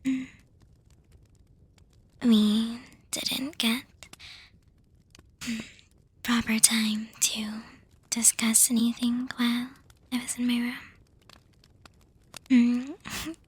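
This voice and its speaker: A woman voicing an affectionate, teasing young vampire girlfriend in a breathy, hesitant whisper for an ASMR roleplay. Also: fairly high pitch, flat delivery, full character voice. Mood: tender, hesitant. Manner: slow, hesitant, gently reassuring with soft hums.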